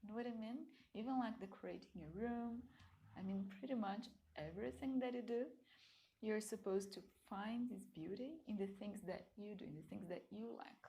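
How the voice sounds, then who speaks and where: Gentle gravelly woman reading cards. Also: mid-range voice, normal speed, low-quality recording. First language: Portuguese. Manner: gentle and soft-spoken, with frequent light laughs. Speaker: card reader and channel host. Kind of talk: casual pick-a-card reading to camera.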